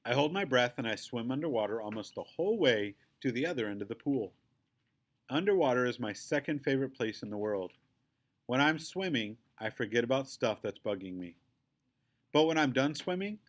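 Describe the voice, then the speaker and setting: A man narrates a children's story from a book. His voice sits at a middle pitch. He delivers the text in short, simple phrases as a storyteller. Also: big pitch swings, a little fast. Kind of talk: scripted narration, reading a children's story aloud.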